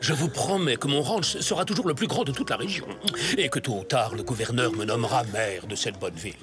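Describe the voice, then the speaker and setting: An actor voicing a genial, courtly wealthy rancher and soothing husband in a cartoon. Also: male voice, medium pitch, fairly quick, lightly acted. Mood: placating. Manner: courtly, placating. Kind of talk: animated cartoon dubbing. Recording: music behind the voice.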